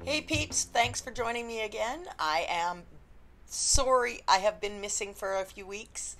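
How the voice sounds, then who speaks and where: A woman, an indie romance author, chatting unscripted to camera with a slightly husky voice and lots of ums. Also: mid-range voice, animated, a little slow, music in the background. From Canada. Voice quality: slightly husky after illness. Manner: chatty, rambling, frequent ums. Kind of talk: unscripted vlog chat.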